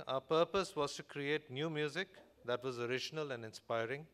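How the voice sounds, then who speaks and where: Male corporate executive, Indian accent, mid-pitched voice, formal and measured delivery of a prepared conference address from a podium. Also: fairly bright tone. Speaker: corporate executive giving a keynote speech. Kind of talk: prepared conference presentation read from a script. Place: large hotel conference hall, on stage at a podium.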